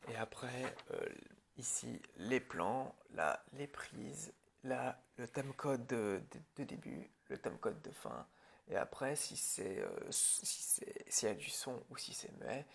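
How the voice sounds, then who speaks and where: A man's voice: mid-range voice, very animated, unhurried, vocal fry, slight background noise. A man speaking informally and hesitantly in an improvised ASMR roleplay, as a film director addressing his crew.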